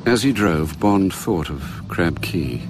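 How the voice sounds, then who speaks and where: An actor narrating a spy thriller radio drama as a grave, measured storyteller with an English accent. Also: male voice, low voice, lively intonation, loud music behind the voice. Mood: sombre, reflective.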